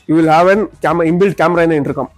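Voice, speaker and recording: A man's voice: on the high side, rapid-fire, soft background music. A man with an Indian accent giving an informal product demonstration as a shop salesman, often repeating words and phrases as he explains.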